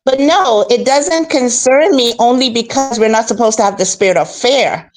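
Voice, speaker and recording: Calm preachy woman from the Bahamas. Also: a little high, normal speed. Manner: calm, preachy, repeats herself. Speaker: guest commentator. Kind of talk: casual faith-based chat.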